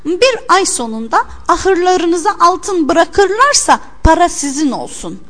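Cheeky young folk-tale trickster female narrator acting, high, bold and declaiming, in a reverberant space. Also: very animated, unhurried, full character voice. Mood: feigned reproach. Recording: long hall-like reverb.

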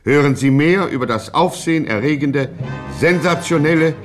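Ironischer Hörspielerzähler mit Musik darunter. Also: male voice, on the high side, normal speed. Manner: formal, showman-like, tongue-in-cheek. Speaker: radio play narrator. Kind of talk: scripted, ironic narration. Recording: music playing under the narration.

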